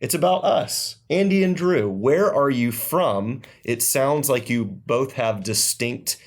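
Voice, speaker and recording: A man's voice: mid-range voice, lively intonation, takes it slowly. A young man from Florida with a mild Southern accent, co-hosting a podcast and asking friendly questions in casual chat. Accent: Southern American. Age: young adult. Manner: friendly, asks questions, agreeable. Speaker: podcast co-host.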